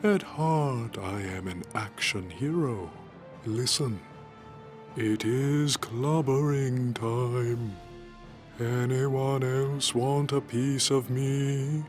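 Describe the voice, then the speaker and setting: An actor playing a solemn cosmic being who speaks formally and pompously and longs to be an action hero. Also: male voice, mid-range voice, extremely slow, faint music behind. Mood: solemn, eager.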